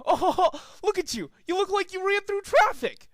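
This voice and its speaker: A performer voicing a brash, mocking cartoon pigeon who heckles loudly in a fan story reading. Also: very high-pitched, lively intonation, normal speed, no echo, clean studio sound, voice pushed up.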